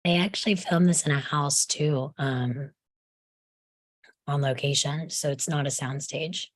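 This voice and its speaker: A woman's voice: deep voice, unhurried, no echo. A woman in a casual group discussion, adding information in a matter-of-fact, conversational way.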